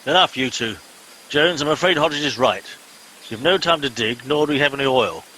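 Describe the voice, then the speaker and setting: Guy doing a pompous captain, bossy, bright voice, bit of hiss, radio play. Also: medium pitch, lively intonation. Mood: commanding. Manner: brisk, officious, commanding. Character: pompous middle-aged male captain of a bumbling crew. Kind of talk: comedy radio play acting.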